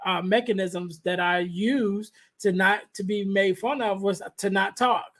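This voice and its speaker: A man hosting a podcast interview, with a light voice, talking in a soft-spoken, halting way full of restarts. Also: high voice, steady tone. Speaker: podcast host. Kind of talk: conversational interview. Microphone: podcast microphone.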